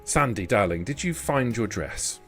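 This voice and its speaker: A genteel vampire lady acted out by a man in a tabletop game, speaking in a low register. She is polite and discreet, with a society manner, over background music. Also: lively intonation, moderate pace, vocal fry. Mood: courteous. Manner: polite, genteel, addresses others as darling.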